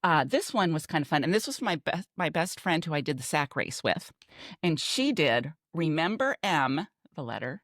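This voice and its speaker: A woman's voice: deep voice, big pitch swings, normal speed, fairly bright tone, no room sound, studio quality. An older American woman from Texas, a podcast co-host reminiscing in casual chat with emphatic, conversational storytelling. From Texas, United States.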